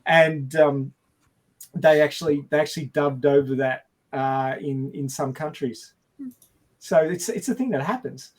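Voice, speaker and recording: A man in a casual film discussion, telling behind-the-scenes anecdotes and acting out quotes as he goes. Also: a little high. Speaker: podcast panelist.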